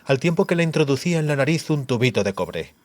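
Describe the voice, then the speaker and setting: A man narrates an audiobook in a dramatic, scripted storytelling style. His voice has a medium pitch. The sound is dry, with very little room in it. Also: sing-song, fast, dry recording.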